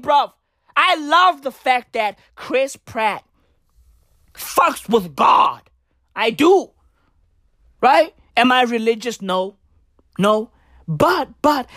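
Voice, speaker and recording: Animated, profane woman from southern Africa. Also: high-pitched, no room sound, studio quality. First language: Tswana. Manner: profane, rambling, animated slang-heavy delivery. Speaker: comedian. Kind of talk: stand-up style comedic rant.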